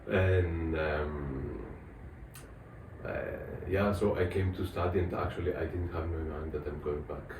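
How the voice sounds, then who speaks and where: A man's voice: sits low, steady tone, a little slow. A man in his thirties with a Greek accent, answering interview questions casually and searching for his words in English. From Thessaloniki, Greece. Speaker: interviewee, musician. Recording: distant, echoey, clearly noisy room.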